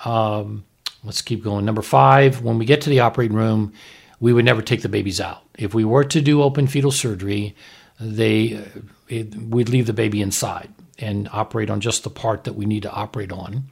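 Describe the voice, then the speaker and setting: A man's voice: lowish voice, fairly even intonation, brisk, vocal fry, slightly rough. A man hosting a casual podcast discussion as a physician, explaining medical points in a conversational way. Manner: conversational, explanatory, checks with "right?". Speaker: host, fetal surgeon reviewing a TV episode.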